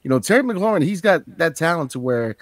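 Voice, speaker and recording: A man's voice: a little high, sing-song, a little fast, no echo. Man giving a casual football take. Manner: conversational, loose with filler phrases. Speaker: podcast co-host. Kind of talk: casual sports chat.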